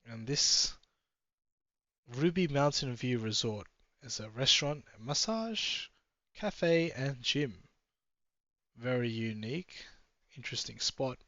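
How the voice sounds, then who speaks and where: A man's voice: medium pitch, animated, takes it slowly, pushed and bright, no echo. Man, travel vlog car commentary, easygoing and rambling, dry dull-ish sound. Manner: chatty, musing aloud, easily sidetracked. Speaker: travel vlogger. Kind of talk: casual running commentary from a car. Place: inside a moving car.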